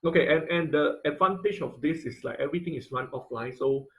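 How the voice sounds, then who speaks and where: Halting male online class instructor. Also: on the high side, a bit bright. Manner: halting, searching for words, repeats phrases. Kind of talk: informal online lesson with question-and-answer. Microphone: online video-call audio.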